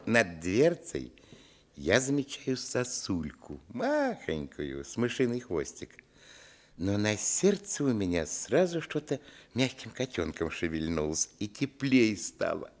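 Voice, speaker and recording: A man acting as the reflective narrator of a radio play, recalling a village boyhood in lyrical, measured storytelling. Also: lowish voice, sing-song, exaggerated voice. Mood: hushed, tender. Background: music.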